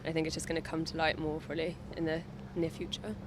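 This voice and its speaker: A person with an even, flat delivery gives a tentative answer in a street interview. Traffic and city noise are clearly audible behind the voice. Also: medium pitch, fast. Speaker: member of the public interviewed.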